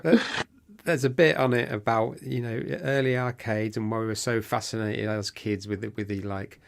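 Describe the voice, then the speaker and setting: A British man co-hosts a podcast, chatting in a rambling way full of restarts. His voice is fairly low and sounds a little worn from talking. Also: steady tone, normal speed, vocal fry. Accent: British English. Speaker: podcast co-host. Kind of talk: casual chat.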